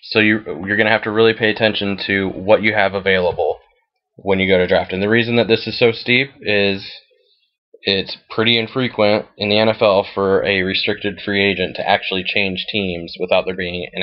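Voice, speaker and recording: A man with an American accent and a fairly low voice talks through something on his computer screen. He explains things informally and matter-of-factly. The recording is a bit dull and lo-fi. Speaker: fantasy football league commissioner. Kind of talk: casual screen-share walkthrough.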